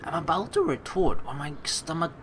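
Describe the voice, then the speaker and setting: A young woman reading a visual novel aloud with joking, swearing asides, animated and quick to laugh. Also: deep voice, slight background noise. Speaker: let's play streamer reading a visual novel.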